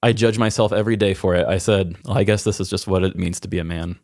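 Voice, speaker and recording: A man's voice: low-pitched, steady tone, fast, darkish tone, no echo, studio quality. A man speaking as a podcast host in candid personal conversation, reflective and self-critical, rambling a little as he thinks aloud.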